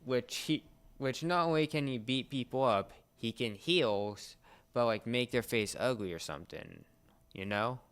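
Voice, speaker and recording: A young man co-hosting a casual pop culture discussion, explaining things in a halting, searching way. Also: medium pitch, a bit bright, smooth. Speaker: podcast co-host.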